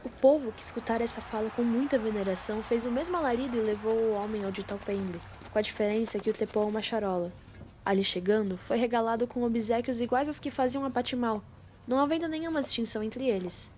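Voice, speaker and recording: Casting: young Brazilian female narrator, scripted classic-story reading, higher-than-average voice, lightly noisy amateur recording. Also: fast talker, a little mellow. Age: teenager or young adult. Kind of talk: scripted literary narration for student radio drama. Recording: faint background noise.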